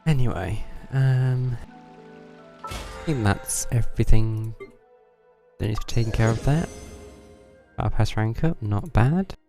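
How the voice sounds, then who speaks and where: A man's voice: mid-range voice, takes it slowly, pauses often. A man streaming a game, giving casual, dry commentary as he thinks aloud. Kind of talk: casual live gameplay commentary. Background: game music.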